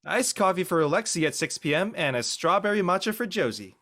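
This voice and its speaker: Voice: mid-range voice, animated, fairly quick, fairly bright tone, close to an everyday voice. An actor playing a laid-back, goofy teenage boy athlete in a dramatic read, slangy and joking. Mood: teasing. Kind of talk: online dramatic table read.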